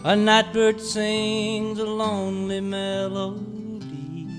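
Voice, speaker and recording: Lonesome cowboy, gentle western singing, very slow, guitar prominent. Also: male voice, high-pitched, little pitch movement. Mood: wistful. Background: acoustic guitar.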